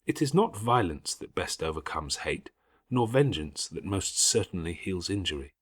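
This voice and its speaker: A man voicing a calm, pious, forgiving schoolgirl in an audiobook, speaking in measured, earnest, formal Victorian phrases. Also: low voice, breathy edge, heavily acted. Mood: earnest, admonishing. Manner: measured, gently preaching, formal Victorian diction. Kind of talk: audiobook character acting.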